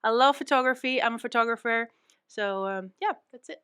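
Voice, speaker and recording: A woman's voice: a little high, brisk, fairly bright tone, smooth, no echo, clean studio sound. A woman in her forties introducing herself on a talk show, playful and self-mocking. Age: 40s. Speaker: co-host. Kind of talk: casual group talk show chat.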